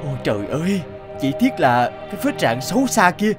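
A greedy, boastful treasure collector from a cartoon fable. His voice sits fairly high and leaps about theatrically. He exclaims with proud, obsessive intensity, and music plays underneath. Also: sing-song, moderate pace. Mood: self-satisfied, fussy. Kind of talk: animated fable voice acting.

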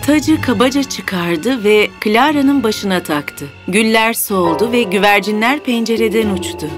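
A woman's voice: mid-range voice, unhurried. A woman narrating a scripted fairy tale as the storyteller. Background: background music.

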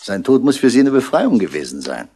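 An actor playing a calm, probing police commissioner in a 1960s radio play, measured and drily wry. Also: male voice, medium pitch, breathy edge, exaggerated voice. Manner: measured, questioning, dry.

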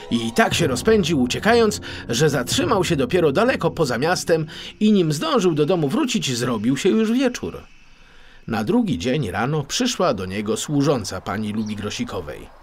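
A man narrating a children's story in an expressive, theatrical storytelling manner. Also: mid-range voice, a little hiss or hum. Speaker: audiobook narrator.

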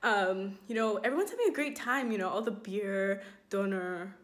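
A woman's voice: medium pitch, lively intonation, moderate pace. A young woman presenting a casual vlog indoors, holding a phone at arm's length. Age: young adult. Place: indoors, living room. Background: faint guitar music.

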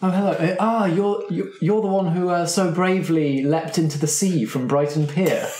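A man's voice: a little high, moderate pace, darkish tone, slight background noise, voice pushed up, lightly acted. A man voicing a grand, gracious older baroness with a lofty, gushing manner in an improvised roleplay. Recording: roomy echo.

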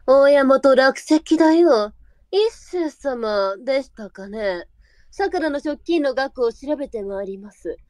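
A woman's voice: high voice, lively intonation, slow, darkish tone, no room sound. A woman playing a composed, shrewd brothel madam in a Japanese period audio drama, polite and coolly businesslike.

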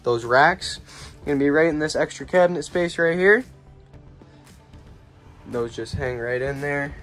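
A young man giving a relaxed, plainspoken walkaround tour of a small camping trailer, filmed on a handheld phone outdoors and then inside. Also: on the high side, unhurried, soft background music. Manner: relaxed, plainspoken, pointing things out as he goes.

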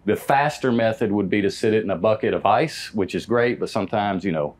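A man's voice: lowish voice, brisk, a little hiss or hum. Plain-spoken American man giving technical instruction. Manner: plain-spoken, folksy, practical. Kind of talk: hands-on demonstration, casual explanation. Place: indoor parts warehouse. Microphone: lapel mic.

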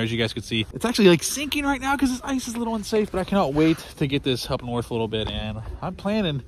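A man in his thirties filming his ice-fishing trip in a tent on a frozen lake, talking casually and excitedly with plenty of slang and swearing, recorded on a handheld action camera. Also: medium pitch. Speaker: fishing vlogger. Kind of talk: casual outdoor vlog. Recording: noisy outdoor camera audio.

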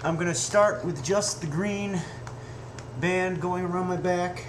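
A man's voice: sits high, a little slow. A young man in his twenties talking casually and bluntly through a home workout vlog, swearing now and then. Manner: blunt, swears, strains and grunts through reps. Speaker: fitness vlogger. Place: small room at home. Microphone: webcam at desk distance. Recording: echoey room, noisy webcam audio.

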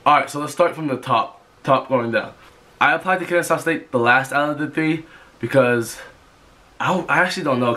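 A man's voice: mid-range voice, bright, forceful tone. A young man from Georgia, talking casually to camera in his bedroom with a stuffy nose from a cold, rambling through many restarts. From Georgia, USA. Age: young adult. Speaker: vlogger. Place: bedroom, indoors. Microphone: camera in front of him.

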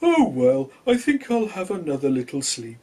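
A man voicing a sleepy, easygoing, contented storybook whale in a simple, emphatic, drawn-out way. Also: fairly high pitch, very animated, a little mellow, a little hiss or hum. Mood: puzzled, sleepy.

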